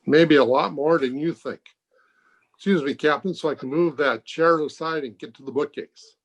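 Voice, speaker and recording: Brief: open-minded hospital doctor, acted by a man, slightly high male voice, firm and measured, old-time radio mystery. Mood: intrigued, ominous. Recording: home video-call recording.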